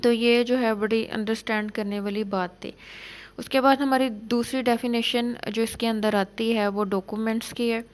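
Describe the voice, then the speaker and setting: A woman giving an exam-prep law lecture, reading English clauses slowly and explaining them in Urdu. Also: on the high side, fairly even intonation.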